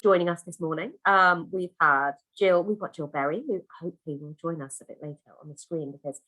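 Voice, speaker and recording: A woman hosts an online conference from a video call, gushing over the speakers and chatting freely. Her voice sits fairly low, and her talk is loose and full of restarts. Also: a little fast, low-quality recording. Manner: warm, chatty, effusive, lots of fillers and restarts. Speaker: conference host.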